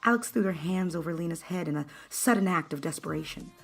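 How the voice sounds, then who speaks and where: Lower-pitched woman narrating a dramatic story. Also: fast, vocal fry, breathy edge. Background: background music.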